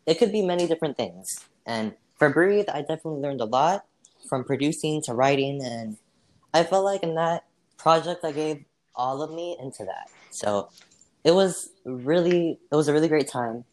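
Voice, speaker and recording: A guest in a casual role-played interview answers slowly and hesitantly. Fillers crowd the sentences, and small slips get laughed away before the answer carries on. The voice sits at a middle pitch. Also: expressive. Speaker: interview guest playing a singer.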